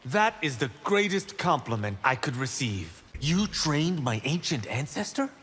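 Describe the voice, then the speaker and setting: Boastful young martial artist, lively pitch, slightly husky, game banter, playful pride. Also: male voice, unhurried, big-room sound, full character voice. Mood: respectful. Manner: brash, proud, playful. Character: cocky, boastful young male martial-arts monk. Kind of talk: video game fight banter.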